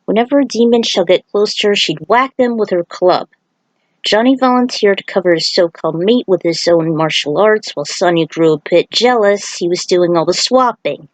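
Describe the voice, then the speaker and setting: A woman's voice: mid-range voice, moderate pace, no room sound, poor audio. A woman reading a scripted story aloud in a dramatized way, with the odd aside. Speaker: fan fiction reader.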